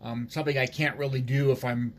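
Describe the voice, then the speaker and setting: A man speaking as an amateur radio operator in casual conversation, in a halting, hesitant way. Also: mid-range voice, fairly even intonation.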